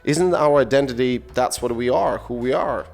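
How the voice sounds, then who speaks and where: A man's voice: medium pitch, normal speed, gravelly, a little mellow, faint music behind. A man with a Latvian accent hosting a casual podcast conversation, rambling and searching for words as he puts his questions and thoughts. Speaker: podcast host. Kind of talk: casual interview chat.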